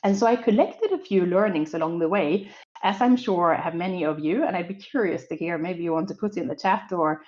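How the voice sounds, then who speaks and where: A woman's voice: lowish voice, a little fast, darkish tone, highs cut off. A woman in her forties from Sweden, speaking English with a Swedish accent, giving an upbeat, optimistic conference talk full of small fillers.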